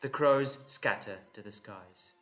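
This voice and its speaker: A man's voice: mid-range voice, unhurried, a bit bright, soft background music. A man giving dramatic scripted narration for an audio drama.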